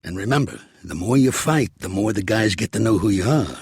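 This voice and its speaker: An actor playing a gruff, streetwise older mobster in a video game, with an American accent, a raspy voice and a blunt, slangy, commanding way of talking. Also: male voice, fairly low pitch, lively intonation, fairly quick, darkish tone, no echo, studio quality, exaggerated voice. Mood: fatherly, steady. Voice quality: raspy, gravelly. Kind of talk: video game character acting.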